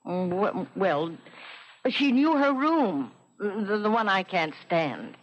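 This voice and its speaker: A wistful older housewife in an old radio play, gentle n earnest, lively pitch, kinda muffled lofi sound. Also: female voice, slow. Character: gentle, wistful retired housewife, unhappily childless. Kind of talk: radio drama acting. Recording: dull lo-fi radio drama recording.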